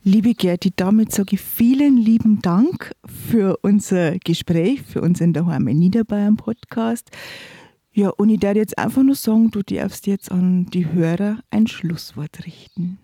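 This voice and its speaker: Brief: woman, podcast host, measured questions, mellow and dry. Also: mid-range voice, breathy edge, dry recording. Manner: measured, asks questions with fillers. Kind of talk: interview questions.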